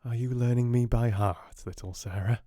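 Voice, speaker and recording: A man voicing a fond, boyish, wistful father in a storybook, tender and gentle. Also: mid-range voice, steady tone, moderate pace, dry recording, clean studio sound, natural voice.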